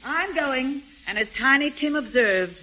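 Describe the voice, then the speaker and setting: An actress playing a brisk, wry woman friend in a 1940s radio drama, talking in a breezy, teasing way. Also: high voice, lively intonation, slight background noise. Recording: dull old radio recording from off-mic.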